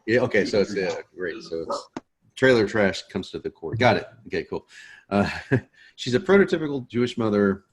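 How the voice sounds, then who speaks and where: Deep-voiced man joking on a video call. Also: expressive, normal speed, breathy edge. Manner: joking, laid-back. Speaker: course host. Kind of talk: casual chat before a lesson.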